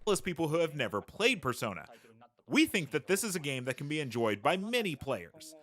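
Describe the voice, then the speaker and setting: A man's voice: fairly high pitch, big pitch swings, fairly quick, fairly bright tone. A man working as an English interpreter in an interview, speaking politely and formally. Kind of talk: spoken interview translation.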